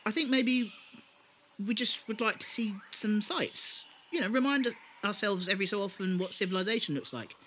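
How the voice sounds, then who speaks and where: A man's voice: high-pitched, expressive, moderate pace, sharp tone, slight background noise. A man in an audio drama playing a polite settler who speaks hesitantly and apologetically.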